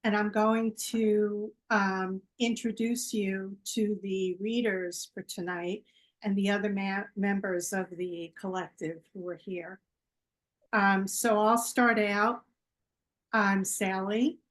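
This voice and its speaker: Slow-talking woman hosting a fundraiser. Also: mid-range voice, steady tone, low-quality recording. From United States. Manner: slow and halting, with many fillers. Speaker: team captain and event host. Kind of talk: casual introduction.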